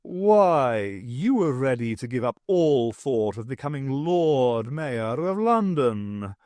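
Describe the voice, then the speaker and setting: A man voicing a jaunty, flattering pet cat in an audiobook, with theatrical, old-fashioned pantomime phrasing. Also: medium pitch, slow, no room sound, studio quality. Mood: jaunty, fawning.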